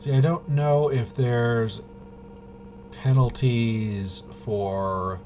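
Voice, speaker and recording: A man's voice: mid-range voice, fairly even intonation, drawn-out words, a little mellow, highs cut off, slight background noise. A man casually thinking aloud as he plays a tabletop roleplaying game, hesitant and muttering to himself while he checks rules. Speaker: solo tabletop RPG player demonstrating gameplay.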